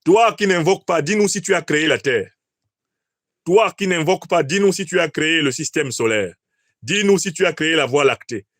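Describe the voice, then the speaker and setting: A man giving an oratorical political commentary, declamatory, with emphatic pauses and rhetorical questions. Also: fairly high pitch, lively intonation, a bit bright, no room sound. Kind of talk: oratorical analysis of a speech.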